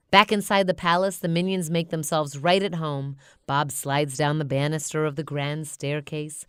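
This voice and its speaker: Clear, low-voiced woman reading a storybook. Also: no echo, studio quality. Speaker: storybook narrator. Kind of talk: expressive children's read-aloud narration.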